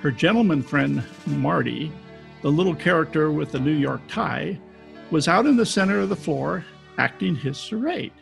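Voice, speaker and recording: An actor playing a wry, deadpan private detective who narrates in 1940s radio style with a gravelly voice. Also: male voice, fairly high pitch, normal speed, faint music behind. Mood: wry narration. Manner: deadpan, wisecracking. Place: home, recorded over video call.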